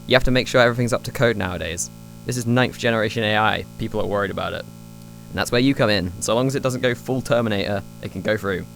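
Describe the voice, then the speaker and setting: A man playing a pragmatic, slightly harried AI scientist in an audio drama, brisk and matter-of-fact in a natural style. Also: on the low side, gravelly, fairly bright tone, soft background music, rough recording. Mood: earnest.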